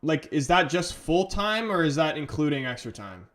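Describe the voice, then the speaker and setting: A man's voice: fairly high pitch, very animated, normal speed, a bit bright. A man with an English accent hosting a casual live stream, chatting through a football match and reading out viewer comments. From England. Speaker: livestream host.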